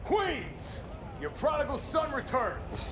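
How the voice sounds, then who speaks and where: A man's voice: very high voice, very animated, slow pace, noisy background, heavily acted. An actor playing a jovial American soldier, joking with his squad. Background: music.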